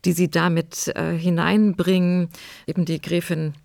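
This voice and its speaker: Very creaky-voiced female expert. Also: fairly low pitch, little pitch movement, darkish tone, dry recording, studio quality. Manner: academic, measured phrasing. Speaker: historian, expert commentator. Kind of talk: explanatory expert commentary.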